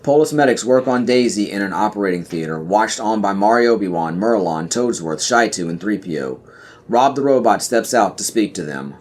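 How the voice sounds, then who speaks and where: A man's voice: lowish voice, expressive, moderate pace, darkish tone, slight background noise. A man reading scripted scene directions as the narrator of a podcast audio play.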